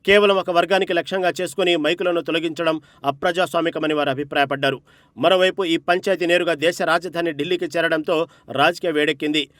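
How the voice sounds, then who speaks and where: Guy narrating news, really quick and dramatic, dry close studio sound. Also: fairly high pitch, steady tone, a bit bright, dry recording.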